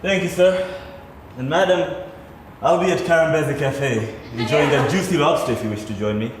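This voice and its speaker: A man's voice: a little high, moderate pace, close to an everyday voice. A man acting a suave, formal, flirtatious servant in a stage play. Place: theatre stage. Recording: very echoey, noisy distant theatre recording.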